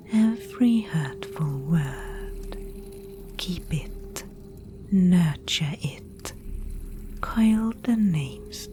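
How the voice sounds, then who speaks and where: A woman voicing a mysterious, solemn Spindlemaid guide in a whispered ASMR dark-fantasy roleplay, with breathy, incantatory, archaic speech. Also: low voice, heavily acted. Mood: eerie chanting. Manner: slow, incantatory, archaic phrasing.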